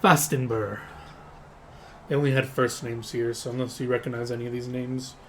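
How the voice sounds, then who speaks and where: A man acts out a freelance spy for a tabletop game. The voice is male and mid-pitched, with formal, measured, slightly hesitant speech. There is faint noise behind it. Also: level tone, close to an everyday voice. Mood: formal, reporting.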